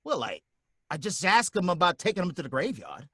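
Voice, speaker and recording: Twitchy cabbie, high and bright, stammering and pleading, old-time radio play. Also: huge pitch swings, fairly quick, husky, dry recording, clean studio sound, exaggerated voice. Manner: nervous, pleading, stammering, folksy slang. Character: jittery, put-upon male cab driver. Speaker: cab driver.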